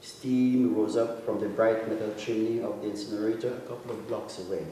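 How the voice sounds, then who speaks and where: A man giving a measured, deliberate reading of literary prose, with dramatic phrasing and pauses, as an author reading a short story. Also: on the low side, steady tone, warm tone. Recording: echoey hall, distant mic, faint noise.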